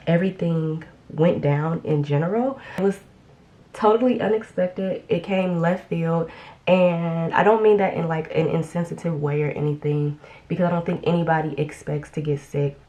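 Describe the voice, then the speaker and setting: A young woman with a low, deep voice talks through a casual get-ready-with-me update at home. She sounds a little congested from a recent illness and rambles on with frequent ums and restarts. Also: darkish tone. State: slightly congested after illness. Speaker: beauty vlogger.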